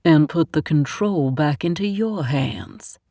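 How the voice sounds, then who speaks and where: A man's voice: on the high side, expressive, slow pace, warm tone, no echo, clean studio sound. A woman voicing a wary Southern man with a thick drawl in an audiobook. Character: nervous Southern man, accomplice.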